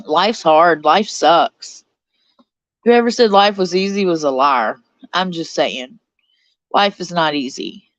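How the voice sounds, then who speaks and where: A woman's voice: fairly low pitch, lively intonation, takes it slowly, dry recording, highs cut off. A woman in her forties hosting a casual live stream from a cold bedroom, chatty and a little stuffed up from her sinuses, reading out viewer comments. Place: cold bedroom under a blanket.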